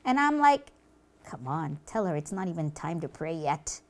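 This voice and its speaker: A woman voicing a gleeful, boastful devil in a children's puppet show, gloating with theatrical, drawn-out emphasis. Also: low-pitched, very animated, pitched below the performer's own voice. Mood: sly, gleeful. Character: gleeful, boastful devil who leads people astray.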